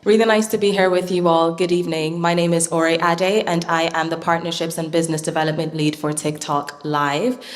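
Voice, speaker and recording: A woman's voice: on the low side, little pitch movement, a little fast, big-room sound. A woman partnerships lead speaking on a panel in a polished, upbeat manner. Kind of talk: panel discussion talk.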